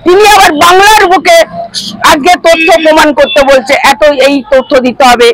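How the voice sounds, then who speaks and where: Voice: extremely high pitch, fairly bright tone, rough recording. A party spokesperson giving an emphatic, repetitive statement to the press. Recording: crowd noise and vehicles behind.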